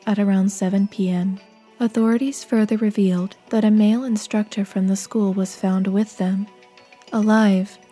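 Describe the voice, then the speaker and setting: A woman plays a solemn narrator in a horror game. Her tone is dark and mellow as she reads the scripted text. Faint music sits underneath. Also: medium pitch, lightly acted.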